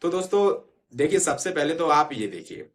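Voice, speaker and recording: A man's voice: a little high, animated, normal speed. A man explaining things informally to students as an online exam-prep educator, urging them directly and often checking that they follow. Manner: repeatedly checks understanding with short tag phrases.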